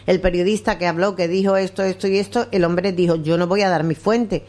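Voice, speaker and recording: A woman's voice: on the low side, fairly even intonation, a little fast, a little mellow. A woman, hosting a local radio chat in a rambling, teasing way. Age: 60s. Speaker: radio host.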